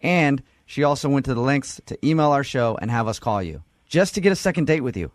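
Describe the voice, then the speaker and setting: A man's voice: medium pitch, expressive, a little fast, no echo. A man hosts a radio show with casual, persuasive banter. His voice sits in a middle register. The sound is dry, with very little room.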